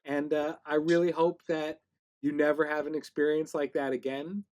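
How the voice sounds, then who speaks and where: A man's voice: a little high, flat delivery, moderate pace, a little mellow. A man hosting a casual podcast interview, with a wry, joking way of talking.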